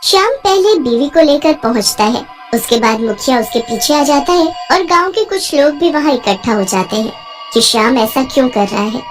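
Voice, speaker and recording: Brief: high-voiced woman narrator for a kids' cartoon, quick and matter-of-fact. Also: animated. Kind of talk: storybook narration. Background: soft music.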